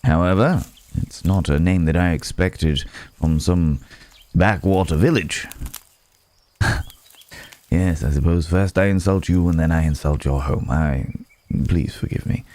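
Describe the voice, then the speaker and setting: A man's voice: sits low, unhurried, long pauses, darkish tone. A man playing a courteous, guarded werewolf from the city in an intimate ASMR roleplay, speaking in hesitant, formal, polite phrases. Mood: charming, apologetic.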